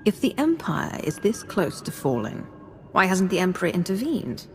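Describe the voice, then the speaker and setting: Low, gravelly, imperious dark lord. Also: female voice, wildly swooping pitch, moderate pace. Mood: confident, cold. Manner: clipped, haughty, commanding. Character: cold imperious female dark lord, confident and threatening. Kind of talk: scripted video game acting. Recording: game music behind the voice.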